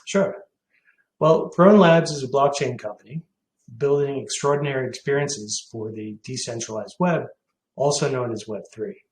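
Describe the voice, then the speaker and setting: A man in his forties from Hong Kong, answering questions as a company CEO on a video call, pausing with many filler sounds. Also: mid-range voice, echoey room, low-quality recording. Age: 40s.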